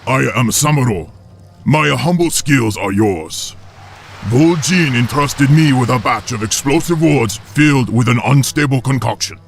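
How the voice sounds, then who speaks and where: An actor playing a humble, formal male orc blademaster with a gravelly voice, in a game cutscene. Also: medium pitch, expressive, a little slow. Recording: game cutscene with faint ambience.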